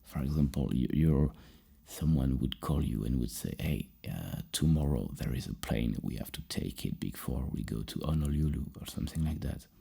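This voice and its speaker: A person doing a soft-spoken ASMR roleplay as a psychologist, asking questions in a halting, rephrasing way with a breathy, husky whisper close to the microphone. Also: a bass voice, lively intonation. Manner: hesitant, repeats and rephrases questions.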